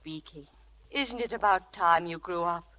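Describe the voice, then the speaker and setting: An actress playing an anxious, devoted young wife, proper and earnest, in a 1940s radio drama. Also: female voice, on the low side, normal speed, bright, forceful tone, lo-fi sound. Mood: scolding. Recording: vintage radio broadcast with rough audio.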